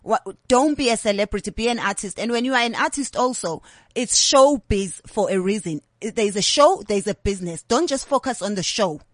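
A South African woman in her thirties speaks as a comedian guest, telling frank, lively stories. Her voice sits at an ordinary pitch for a woman. The sound is dry and close. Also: a little fast, no room sound. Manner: candid, animated storytelling mixing English with Zulu. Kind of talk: interview conversation.

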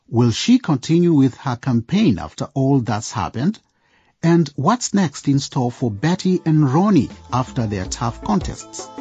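A man's voice: mid-range voice, a little mellow, husky, no echo. A man narrating a scripted radio drama as a storyteller, suspenseful, with playful asides to the listener. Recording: dull radio mix with music. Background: music.

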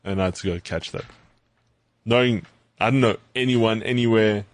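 Low-voiced South African podcast co-host, relaxed chatty storyteller, dry close sound. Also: male voice, normal speed, no room sound. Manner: storytelling, repeats words, relaxed. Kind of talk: casual chat.

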